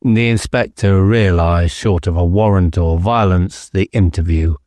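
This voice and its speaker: Slow, low-voiced male audiobook narrator. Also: darkish tone, no room sound, studio quality. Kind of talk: scripted literary narration.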